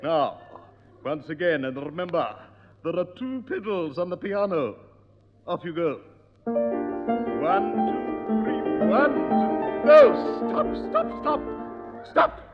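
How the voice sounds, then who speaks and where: A fussy, scolding piano teacher. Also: male voice, sits high, huge pitch swings, takes it slowly, long pauses, full character voice. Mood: exasperated. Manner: prim, scolding. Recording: dull echoey old radio recording.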